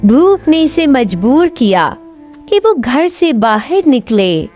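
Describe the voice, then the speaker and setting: A woman's voice: high voice, sing-song, darkish tone, soft background music. A woman narrating a scripted fairy tale as a storyteller.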